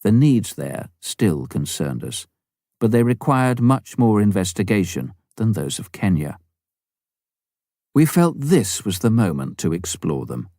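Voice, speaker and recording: Low-pitched, expressive male audiobook narrator. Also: a little slow, no echo, studio quality. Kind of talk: scripted memoir narration.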